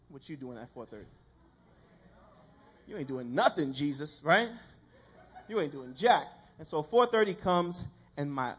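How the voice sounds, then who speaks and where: A man with a husky voice preaching an informal, colloquial sermon to a church congregation. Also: a little high. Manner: colloquial, self-deprecating, animated mimicry of dialogue.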